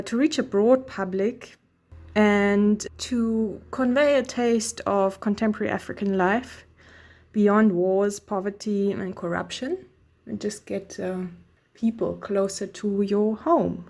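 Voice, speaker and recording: A woman informally pitching an idea as an academic contributor, thinking aloud as she goes. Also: unhurried, darkish tone.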